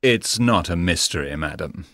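An actor playing a calm, courteous and assured male detective in a dramatized audiobook story. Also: low-pitched, normal speed, creaky, dry recording, clean studio sound, heavily acted. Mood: reassuring, courteous.

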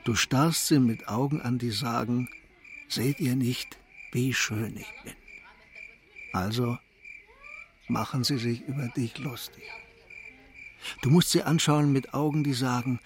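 Radio play role for a male actor: older shopkeeper-mentor, calm and thoughtful, creaky voice, deliberate slow delivery, faint night ambience. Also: mid-range voice, a little hiss or hum, close to an everyday voice. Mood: gently instructive.

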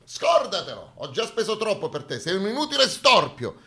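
A man's voice: sits high, big pitch swings, normal speed, pushed and bright, voice pushed up, exaggerated voice. A man playing the North of Italy personified as a haughty, impatient bully, scornful and brusque in stage dialogue.

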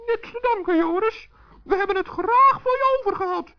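Wee friendly gnome guy, squeaky high, earnest, muffled old kids radio play. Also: animated, moderate pace. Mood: kindly, pleased. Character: good-natured, earnest little male forest gnome. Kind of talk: 1960s children's radio play acting.

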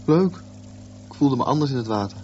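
Radio drama lead: dreamy, wondering teenage boy played by a man, unhurried musing delivery, muffled audio with clear noise. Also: medium pitch, expressive, warm tone, lightly acted. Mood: eager. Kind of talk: radio drama acting, reflective monologue. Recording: dull, noisy old radio recording.

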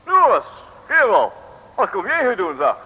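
An actor playing a haughty, blustering gentleman gang boss in an old Dutch radio drama. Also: sits high, wildly swooping pitch, measured pace, husky, heavily acted. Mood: indignant. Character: blustering gentlemanly male gang boss, indignant when cornered. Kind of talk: 1950s-60s Dutch radio drama acting. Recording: dull, very echoey old radio recording.

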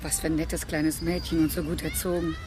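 An actress playing a kindly, gossipy housekeeper in a radio drama, given to exclaiming. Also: female voice, low voice, flat delivery, a little fast, crowd noise, background noise, natural voice. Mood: chatty, pleasant. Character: chatty, kindly housekeeper, easily appalled.

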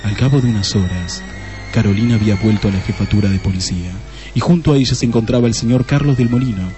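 A man's voice: fairly low pitch, quick pace, dark tone, soft background music, lightly acted. An actor playing the narrator of a comic detective radio play, a man reading scripted storytelling in Spanish.

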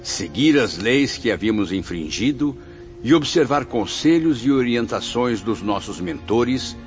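A man's voice: mid-range voice, expressive, a little slow, close to an everyday voice. An actor playing a devout male spirit in a radio drama, preaching solemnly to his brothers. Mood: devout exhortation. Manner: preachy, declamatory. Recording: dull lo-fi audio with background music.

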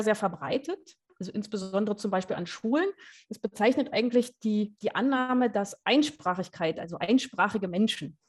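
A woman's voice: medium pitch, a little mellow. A woman researcher, a guest on a podcast, chatting casually and explaining a concept with frequent hesitations.

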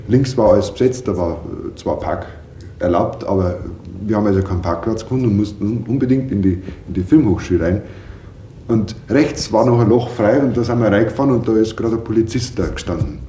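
Guy chatting through a story, low mellow voice, echoey room. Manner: animated storytelling, quoting others' speech. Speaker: interviewee telling an anecdote. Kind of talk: casual spontaneous anecdote. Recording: roomy echoey room with faint noise.

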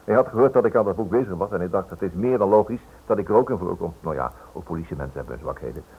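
A tinny old radio recording carries a Dutch writer, low and husky. He is stubborn and wry, probing with ironic, lively swings and small hesitations. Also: racing, heavily acted. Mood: evasive. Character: stubborn, suspicious male writer, wry and defiant. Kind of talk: radio play acting.

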